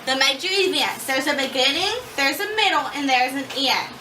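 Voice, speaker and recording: High-voiced woman teaching in an echoey room. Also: moderate pace. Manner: repeats children's answers back to them. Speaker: student teacher leading young children. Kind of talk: classroom question-and-answer with young children. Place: classroom, indoors, away from the mic. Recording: echoey, noisy classroom from far off.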